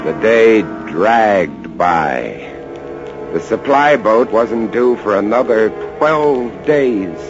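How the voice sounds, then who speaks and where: An actor playing a haunted lighthouse keeper telling his tale in a dramatic, halting vintage radio drama style. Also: lowish voice, sing-song, full character voice. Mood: grim, strained. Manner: dramatic, halting, suspenseful storytelling. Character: haunted lighthouse keeper, a man, recounting a rat siege. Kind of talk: 1940s-50s radio drama narration. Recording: dull vintage radio recording, loud music behind.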